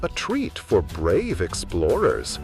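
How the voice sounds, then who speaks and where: A man voicing a falsely kind older male neighbor in a suspense story, with a smooth, cooing, gentle murmur. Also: deep voice, wildly swooping pitch, takes it slowly, faint music behind, full character voice. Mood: coaxing. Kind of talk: storybook suspense character dialogue.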